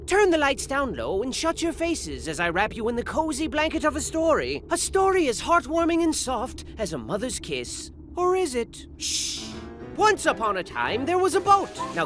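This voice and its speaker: An actor playing a hyperactive, eccentric storyteller who narrates a game's cutscenes with sarcastic, theatrical flair. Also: male voice, extremely high pitch, wildly swooping pitch, normal speed, a bit bright, heavily acted. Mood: manic. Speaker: game narrator. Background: music playing.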